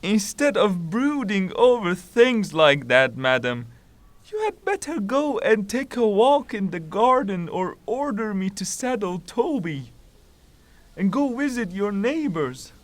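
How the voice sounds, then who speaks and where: An actor playing a fussing, plain-spoken old widowed manservant in a theatrical audio drama, pleading and deferential. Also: male voice, sits high, very animated, takes it slowly, dry recording, heavily acted.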